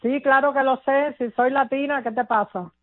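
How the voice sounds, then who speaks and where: Cuban woman, high voice, combative and blunt, sparring on a muffled, noisy phone line. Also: fairly even intonation, normal speed. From Cuba. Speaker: prank call recipient. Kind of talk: heated informal phone argument. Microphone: telephone.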